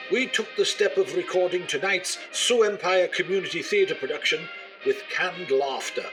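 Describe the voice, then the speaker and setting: A man playing a deadpan, formal BBC-style radio announcer introducing a comedy play. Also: fairly high pitch, poor audio. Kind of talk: scripted broadcast announcement.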